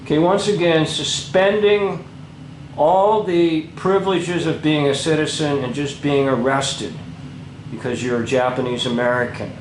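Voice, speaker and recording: A man's voice: fairly high pitch, steady tone, measured pace, reverberant, music in the background, poor audio. A man commenting over a documentary in an indignant, lecturing way.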